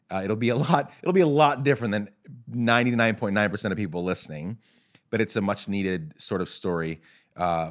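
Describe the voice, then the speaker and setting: Low-voiced upbeat male interview host. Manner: upbeat, chatty, quick asides. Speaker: podcast host. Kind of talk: casual interview chat. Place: apartment in Nashville.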